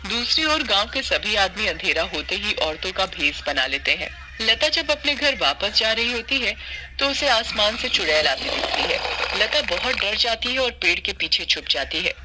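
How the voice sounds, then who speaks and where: Male narrator for cartoon tales, high-pitched voice, clear music bed behind. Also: pushed and bright, low-quality recording. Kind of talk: scripted cartoon story narration.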